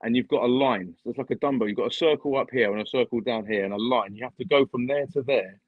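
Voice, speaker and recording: Husky man on a dull, dry stream. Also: medium pitch, quick pace, dry recording. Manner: chatty, explains at length, laughs at his own jokes. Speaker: guest on a live stream. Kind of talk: casual live-stream chat.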